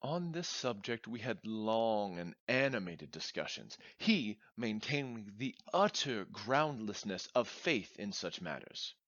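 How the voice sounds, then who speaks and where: A man performing Gothic first-person narration as an anxious, gloomy nineteenth-century gentleman, formal, measured and expressive. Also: fairly low pitch, dry recording. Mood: grave, gloomy. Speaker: performer reading a short story. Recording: dry, slightly dull lo-fi sound.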